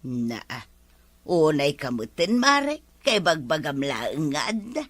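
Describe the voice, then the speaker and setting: A woman's voice: deep voice, very animated, slow pace, fairly bright tone, dry recording, full character voice. A woman playing a vain, nagging village wife who borrows things, whining in an Ilocano comedy drama. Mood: pleading.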